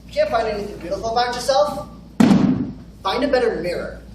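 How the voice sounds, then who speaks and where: A woman's voice: fairly high pitch, expressive, fairly quick. A young woman performing a dramatic spoken-word recital on a stage. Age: teenager or young adult. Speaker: readers theater performer. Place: large wooden hall with stage. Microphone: far camera, no visible mic. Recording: distant, very echoey noisy hall.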